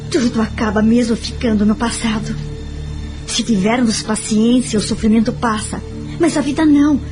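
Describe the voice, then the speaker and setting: An actress with a Brazilian accent playing a gentle, reflective woman friend in an audio drama, speaking earnestly and explaining. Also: a little high, close to an everyday voice. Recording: music behind the voice.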